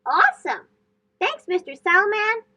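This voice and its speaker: A woman voicing an excited, eager eight-year-old boy in a children's storybook read-aloud. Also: very high-pitched, a little slow, pitched above the performer's own voice, full character voice. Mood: delighted. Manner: eager, exclamatory. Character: excited eight-year-old schoolboy who loves astronomy.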